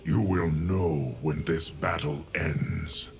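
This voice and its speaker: An actor voicing a solemn, stoic, ageless guardian of time in a video game, formal and measured with a gravelly voice. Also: male voice, very deep voice, steady tone, music in the background, full character voice.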